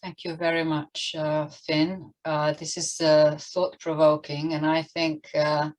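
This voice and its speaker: A woman moderating an online panel in halting, hesitant phrases. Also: deep voice, little pitch movement, darkish tone, rough recording. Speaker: event moderator. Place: online video call.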